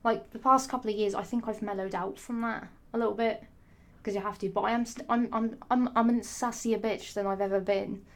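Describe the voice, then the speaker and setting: A young woman, her voice hoarse, rambling sassily to camera with frequent swearing and restarts. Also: mid-range voice, steady tone, a little fast. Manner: rambling, sassy, swears often, many restarts. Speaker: vlogger. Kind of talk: rambling casual monologue.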